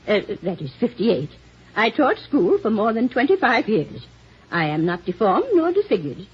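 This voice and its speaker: An actress playing a chatty, gossipy spinster schoolteacher in her sixties, husky and giggly, in an old-time radio drama. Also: mid-range voice, full character voice. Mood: coy, indignant. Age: 60s. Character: chatty, fluttery sixty-year-old spinster, retired schoolteacher. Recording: dull old radio recording.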